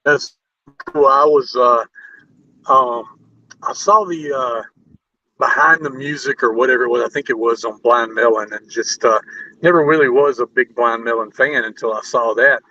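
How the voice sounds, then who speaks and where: A man hosting a casual interview, speaking informally in halting, loosely phrased sentences. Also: medium pitch, pushed and bright, lo-fi sound, a little hiss or hum. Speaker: interview show host, musician.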